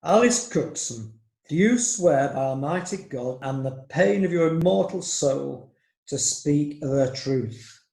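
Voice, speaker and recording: Gravelly court clerk calling folks in, a bit hesitant, man playing him, echoey room. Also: fairly high pitch, expressive, takes it slowly, darkish tone, exaggerated voice. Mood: formal. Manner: formal, slightly hesitant announcing. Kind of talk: amateur radio drama.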